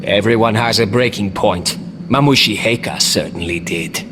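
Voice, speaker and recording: An actor playing a cocky, foul-mouthed warrior for hire in a video game, sarcastic and flippant in manner. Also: male voice, lowish voice, normal speed, a loud crowd, natural voice. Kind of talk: video game action-comedy acting.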